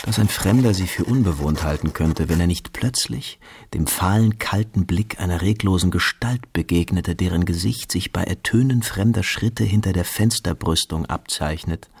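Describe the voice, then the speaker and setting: Really low husky guy reading literary narration for a radio play. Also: moderate pace, few pauses.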